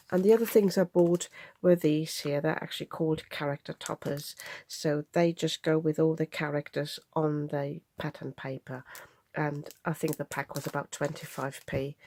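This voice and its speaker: Woman host, nasal with a cold, slightly low and fry-edged voice, practical chatty tutorial delivery. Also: a little mellow. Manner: chatty, practical, explains measurements step by step. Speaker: craft channel host. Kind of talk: card-making tutorial. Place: home craft table.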